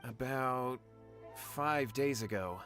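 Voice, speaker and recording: An anxious, humble young man from a video game. His medium-pitched voice is earnest and polite, always close to imploring. Music plays behind him. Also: slow pace, a bit bright. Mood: insistent. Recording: background music under the voice.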